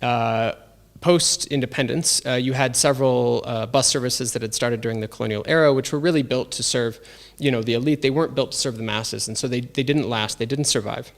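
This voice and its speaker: A man's voice: mid-range voice, a little fast, creaky, hardly any pauses. A man in his thirties, raised in Tanzania, eagerly explaining his research as an interview guest, with many fillers. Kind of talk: interview answers.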